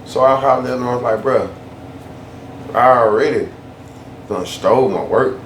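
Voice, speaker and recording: A man's voice: medium pitch, moderate pace, a bit bright. A man ranting casually in a loose, slangy way, often repeating himself for emphasis. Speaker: YouTube storyteller. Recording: echoey room, faint background hum.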